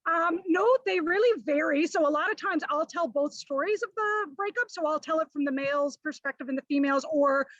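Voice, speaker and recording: High-pitched female author, hesitant answers, dry muffled video call audio. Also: a little fast, few pauses, a bit bright, dry recording. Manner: hesitant, searching for words. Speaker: author answering panel questions.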